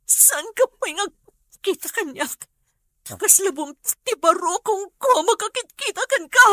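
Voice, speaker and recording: Very high, emotional betrayed Filipino wife, acted by a woman. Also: animated, a little slow, pushed and bright, dry recording, studio quality, exaggerated voice. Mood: tearful anger. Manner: emotional, accusing, tearful. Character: betrayed, heartbroken young wife confronting her cheating husband. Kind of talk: Ilocano radio drama acting.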